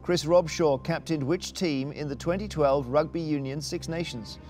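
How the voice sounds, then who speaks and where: Higher-pitched British male quiz show host. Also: normal speed, darkish tone, soft background music. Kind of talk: TV quiz presenting, scripted links and banter.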